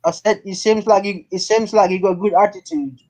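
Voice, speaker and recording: A man's voice: high voice, fairly even intonation, a little fast, a little mellow. A thirty-year-old man chatting casually on an online group call, often repeating his phrases for emphasis. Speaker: participant in an online religious debate. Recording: dull, lo-fi online call audio.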